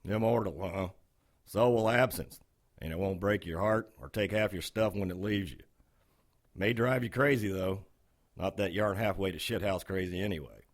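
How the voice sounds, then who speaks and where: An actor playing a sardonic, wisecracking bartender in a noir audio drama. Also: male voice, low voice, normal speed, natural voice. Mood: cynical, teasing. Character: cynical, wisecracking male dive-bar bartender.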